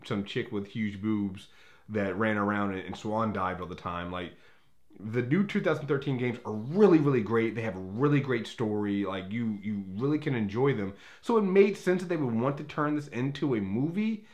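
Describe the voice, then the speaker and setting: A man hosting a movie review in a casual, unscripted way, rambling and opinionated, often backtracking as he talks. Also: mid-range voice.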